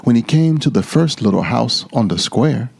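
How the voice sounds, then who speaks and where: A man reading a children's storybook dramatically, with theatrical, drawn-out emphasis. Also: mid-range voice, expressive, creaky, a little mellow, husky.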